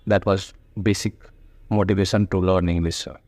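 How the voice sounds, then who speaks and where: Hesitant man, low dry voice. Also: level tone, darkish tone, no room sound. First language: Hindi. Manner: hesitant, many pauses, politely says sir. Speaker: student and author being interviewed. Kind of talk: halting conversational answers.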